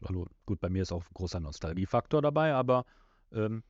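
A man hosting a podcast, casually discussing an audio drama and pausing to search for words. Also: fairly low pitch, lively intonation, darkish tone, no room sound. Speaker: podcast host. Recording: slightly dull, lo-fi sound.

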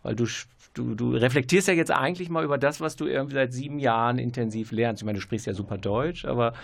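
Gravelly-voiced male radio host. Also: mid-range voice, fast talker, no echo. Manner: relaxed, coaxing, frequent murmured mhm. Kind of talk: radio interview.

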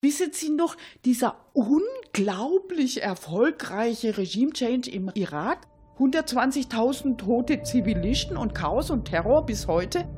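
A high-pitched Swabian cleaning lady, played by a woman who loves to chat. She is folksy and mock-naive, full of rhetorical questions and homespun indignation, in the style of a satirical radio sketch. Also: lively intonation, a little slow, a little mellow, husky, faint music behind. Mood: indignant. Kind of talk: comic satirical radio monologue.

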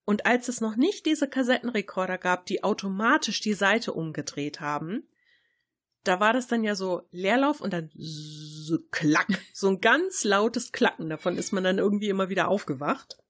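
A middle-aged woman co-hosts a podcast. Her voice sits at an average pitch for a woman. She talks in a colloquial, chatty way, as if reminiscing with a friend. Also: lively intonation, normal speed, a bit bright, slightly rough. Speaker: podcast co-host. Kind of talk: casual chat, reminiscing.

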